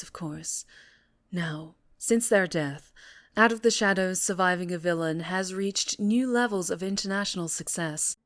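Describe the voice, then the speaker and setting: A woman's voice: medium pitch, close to an everyday voice. A woman playing a composed, solemn TV news anchor in an audio drama, speaking formally with dramatic emphasis. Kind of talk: scripted news broadcast acting in audio drama.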